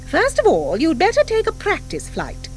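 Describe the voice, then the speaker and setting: Woman acting a stern, high-pitched commanding schoolmistress. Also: huge pitch swings, normal speed, a little hiss or hum, full character voice. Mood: brisk, strict. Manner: crisp, commanding, precise, piercing. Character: stern, formidable, brisk schoolmistress at a witches' academy. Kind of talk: audiobook character acting. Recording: 1984 audiobook from cassette.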